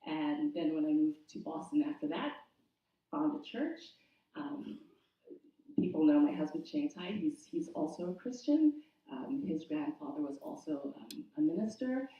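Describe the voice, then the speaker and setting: A woman from Zimbabwe in her thirties, sharing her personal faith story at a church service in a steady, reflective way with frequent ums. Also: deep voice, measured pace. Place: church sanctuary. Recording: echoey room from far off, dull.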